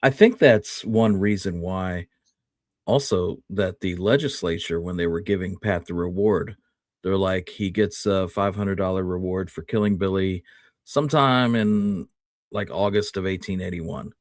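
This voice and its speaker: A man's voice: deep voice, unhurried, no echo, studio quality. A man co-hosting a history show, explaining things in a casual, conversational way. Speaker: podcast co-host.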